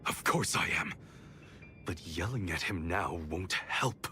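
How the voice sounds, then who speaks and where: A young game hero with a fairly low voice. He is calm and level-headed, reasoning in measured phrases. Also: soft background music, exaggerated voice. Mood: resigned, calm. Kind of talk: video game cutscene acting.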